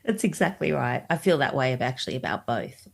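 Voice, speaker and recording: A woman's voice: very deep voice, lively intonation, a little fast, vocal fry. A woman co-hosting a podcast, chatting casually and laughing often as she interviews. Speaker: podcast co-host. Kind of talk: casual chat.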